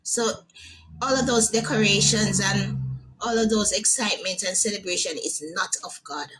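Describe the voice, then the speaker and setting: A woman's voice: mid-range voice, normal speed, fairly bright tone, slight background noise, rough recording. A woman calling in to a radio show who states her view firmly and checks that she is understood. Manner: firm, emphatic, asks for agreement. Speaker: caller. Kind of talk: call-in radio comment.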